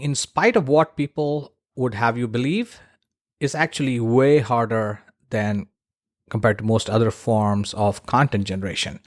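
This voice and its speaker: A man with a medium-pitched voice talks as the host of a solo podcast. He explains in a chatty, emphatic way, speaking straight to the listener with casual asides. The sound is close and dry, with very little room in it. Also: dry recording.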